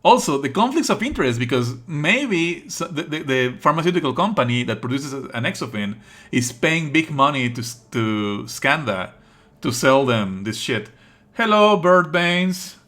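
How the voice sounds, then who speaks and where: A man's voice: a little high, very animated, moderate pace, fairly bright tone. A man doing live let's play commentary as a streamer, emphatic, exasperated and prone to swearing.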